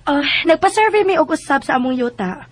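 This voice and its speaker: A Filipino actress with a Visayan accent playing a haughty, unyielding rich landowner from next door in a radio drama. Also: female voice, high voice, expressive, a little fast. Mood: self-assured. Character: brazen, defiant well-off woman landowner from next door. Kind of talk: Bisaya radio drama acting.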